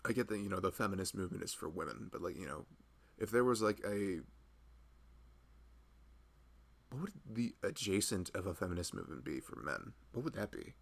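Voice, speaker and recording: Loving young husband acted by a man for intimate ASMR roleplay: very low, creaky voice, earnest, informal, rambling delivery. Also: animated, fast, heavily acted. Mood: thoughtful, sympathetic. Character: loving, chatty, earnest husband of a pregnant wife. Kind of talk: improvised ASMR roleplay, casual intimate chat.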